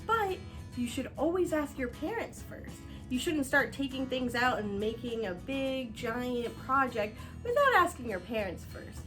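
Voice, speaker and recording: A woman reading a picture book aloud as a children's teacher, expressive and instructive, explaining things directly to young viewers. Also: on the high side. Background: music.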